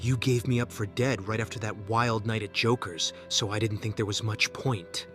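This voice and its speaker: A man's voice: lowish voice, fairly even intonation, normal speed, a bit bright, faint music behind, lightly acted. A man playing a bitter, sardonic young vigilante in a superhero comic dub, taunting as an estranged former sidekick. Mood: sardonic, calm. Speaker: voice actor in a fan comic dub.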